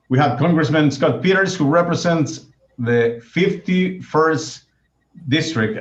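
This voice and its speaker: A man with a Mexican accent, a news editor co-hosting a town hall with formal introductions. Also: medium pitch. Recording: echoey, muffled video-call audio.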